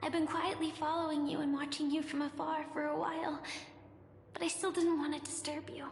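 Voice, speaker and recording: An actress playing a gentle, shy, wistful young woman in a video game, soft-spoken and hesitant. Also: high voice, level tone, fairly quick. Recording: reverberant hall echo, music behind.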